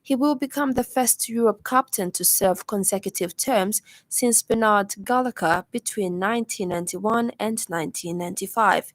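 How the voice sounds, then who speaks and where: Woman, Zambian accent, mid-pitched voice, formal scripted news reading, measured, dry studio recording. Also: dry recording. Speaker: radio news reader. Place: radio studio.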